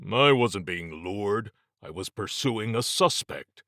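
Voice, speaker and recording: A man's voice: lowish voice, animated, takes it slowly, fairly bright tone, no echo, clean studio sound, heavily acted. A man voicing a blunt, stubborn male ex-agent in an audiobook, with terse delivery. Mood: defensive. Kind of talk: audiobook character dialogue.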